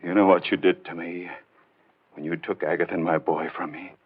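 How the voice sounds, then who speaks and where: Jilted avenger, low voice, clipped, quietly menacing, bitter, muffled vintage radio horror. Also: male voice, fairly even intonation, fairly quick, slightly rough. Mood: bitter menace. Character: controlled, bitter jilted man hiding murderous hatred. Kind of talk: 1940s radio horror acting. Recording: muffled old 1940s radio broadcast.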